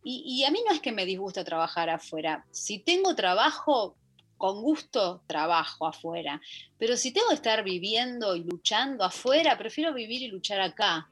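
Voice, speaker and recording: A woman's voice: medium pitch, very animated, normal speed, fairly bright tone. An Argentine woman, middle-aged, chatting as a guest in a radio interview about her stage career, full of fillers and restarts. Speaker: guest, musical theatre actress. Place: radio studio.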